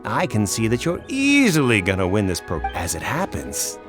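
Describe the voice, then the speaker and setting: A man's voice: on the low side, huge pitch swings, normal speed, over music. A man voicing a pushy, wheedling street swindler and gang boss in a video game. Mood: smarmy. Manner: wheedling, blustering.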